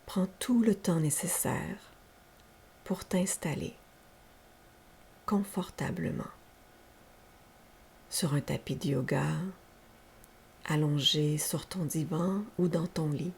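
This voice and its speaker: A woman leading a guided yoga nidra relaxation as a teacher, speaking softly and breathily in gentle, unhurried, soothing instructions. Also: deep voice, vocal fry. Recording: faint steady background hiss.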